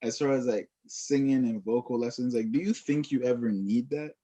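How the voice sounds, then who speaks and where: A man's voice: mid-range voice, moderate pace, mellow. A young man chatting casually, slangy and full of questions. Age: young adult. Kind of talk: casual chat.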